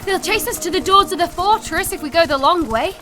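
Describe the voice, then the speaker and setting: A woman voicing a reckless, gleeful young female rider in an audio drama, calling out in a brash, excited way. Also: very high voice, brisk, faint music behind, pitched above the performer's own voice, full character voice.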